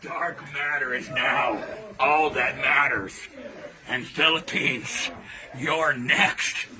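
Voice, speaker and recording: A man, a pro wrestler, taunting and shouting threats in a promo. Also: mid-range voice, slow, crowd noise. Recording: loud background noise.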